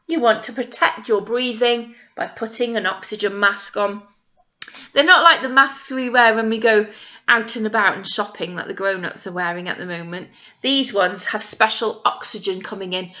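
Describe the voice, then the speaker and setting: A woman, a nursery teacher, reading a picture book aloud to young children in an expressive, teacherly way, stopping to explain things simply with questions and emphasised key words. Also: medium pitch, lo-fi sound.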